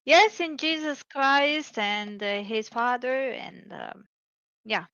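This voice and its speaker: Woman from Serbia, video-call guest, bright higher voice, halting delivery with fillers, dull lo-fi line. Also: no room sound. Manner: hesitant, many fillers and restarts. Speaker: caller. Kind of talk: casual debate chat.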